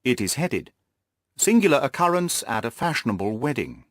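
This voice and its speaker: A man voicing an earnest, orderly gentleman companion in an audiobook, measured and deliberate in manner. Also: medium pitch, sing-song, dry recording, studio quality, close to an everyday voice. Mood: measured, informative. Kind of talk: audiobook character voice.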